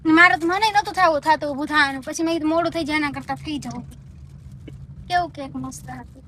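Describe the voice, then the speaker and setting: A woman's voice: high voice, fast talker, a bit bright, slight background noise. A young woman vlogger. She talks casually and chattily, with a teasing streak. English words slip into her everyday chat.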